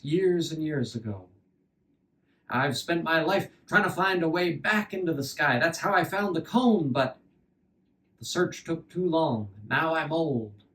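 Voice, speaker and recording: Man playing a slow, mysterious old fiddler. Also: a little high, fairly bright tone, far from the mic, low-quality recording. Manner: measured, cryptic, addresses the boy as son. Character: mysterious, wistful old male fiddler, kindly and riddling.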